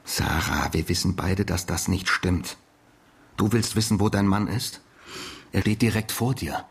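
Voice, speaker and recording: Enigmatic stranger posing as a husband, low gravelly voice, calm, controlled, provocative, radio drama. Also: male voice, unhurried, exaggerated voice. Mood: cool, probing.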